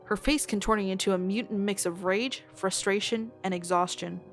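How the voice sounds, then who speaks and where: A woman reads a scripted tale as narrator, in a mid-pitched voice. Her delivery is expressive, with faint music underneath. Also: moderate pace.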